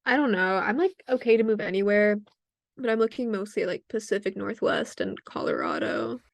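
A woman's voice: medium pitch, very animated, fairly quick, vocal fry, no room sound. A young woman co-hosting a podcast, chatting casually and a little hesitantly, with lots of fillers. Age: young adult. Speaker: podcast co-host. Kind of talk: casual chat.

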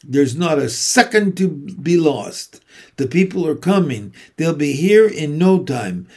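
A man's voice: on the high side, expressive, unhurried. A man voicing an earnest, emotional young male pig in a storybook, pleading and exclaiming with urgency. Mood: urgent pleading.

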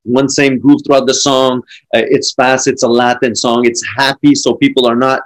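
A middle-aged man from France with a French accent, a drummer chatting casually and telling animated stories full of restarts. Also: medium pitch, moderate pace. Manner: animated storytelling with frequent restarts. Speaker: drummer and podcast guest. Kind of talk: casual conversation.

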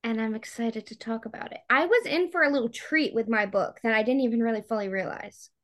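A woman with a fairly high voice talks as a podcast co-host. Her delivery is chatty and informal, full of casual slang, in the loose style of a book discussion between co-hosts. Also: a little fast, a bit bright.

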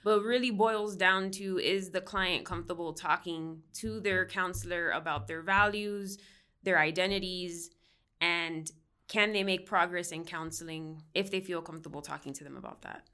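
Woman from Miami, counselor and professor, somewhat low voice with a bright, smooth tone, explanatory and casual. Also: steady tone, normal speed. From Miami, United States. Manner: explanatory, with frequent "like" and "um" fillers. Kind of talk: conversational podcast interview.

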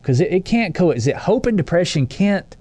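A man's voice: high-pitched, sing-song, moderate pace, warm tone. A man co-hosting a faith podcast, talking in an earnest, emphatic way and often restarting phrases. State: stuffy with allergies. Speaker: podcast co-host.

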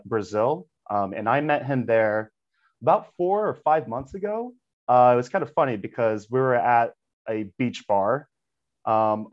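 A man hosting a casual podcast interview, relaxed and easygoing, often saying "right on". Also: medium pitch. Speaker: podcast host. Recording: slightly dull lo-fi sound.

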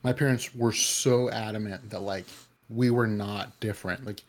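Man, low voice, rambling, filler-heavy, casual chat. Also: steady tone, normal speed. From California Bay Area. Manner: rambling, many fillers and restarts. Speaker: interviewee. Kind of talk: casual conversation.

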